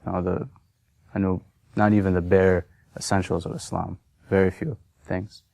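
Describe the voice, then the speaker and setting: A man in his thirties from Massachusetts, telling his personal story in a halting, unscripted way full of fillers. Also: low voice, little pitch movement, gravelly, dark tone, no echo. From Massachusetts, USA. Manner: hesitant, many fillers and restarts. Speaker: convert sharing his story.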